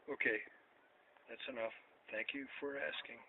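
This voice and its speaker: A man in his seventies talking to camera in a rambling way, repeating himself and getting louder when he asks questions. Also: mid-range voice, fairly even intonation, moderate pace. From United States. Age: 70s. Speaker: vlogger. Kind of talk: rambling casual talk to camera. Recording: tinny lo-fi sound with faint hiss.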